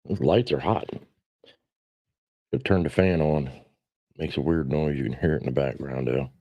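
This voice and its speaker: Very deep-voiced man in his forties, rambling casually. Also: moderate pace, dry recording, dull audio. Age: 40s. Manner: rambling, blunt, self-deprecating, salty biker talk. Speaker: livestream host. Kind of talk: casual livestream chat.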